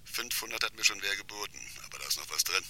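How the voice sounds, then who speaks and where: An actor playing a cool, calculating blackmailer, terse and slangy, in a German radio crime drama. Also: male voice, fairly low pitch, lively intonation, fast, background noise, lightly acted. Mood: hard, haggling. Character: shady male blackmailer on the telephone, cool and calculating.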